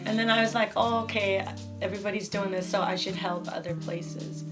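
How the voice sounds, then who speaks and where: A woman's voice: mid-range voice, fairly even intonation, a little fast, over music. A young woman from Japan, speaking English with a Japanese accent, chatting in a studio with frequent laughs. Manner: chatty, laughs often, agreeable. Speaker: recording engineer, guest. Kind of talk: casual conversation. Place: recording studio control room.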